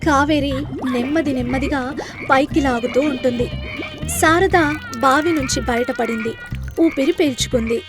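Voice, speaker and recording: A woman's voice: high voice, measured pace, long pauses, over music. A woman reading scripted story narration as a storyteller.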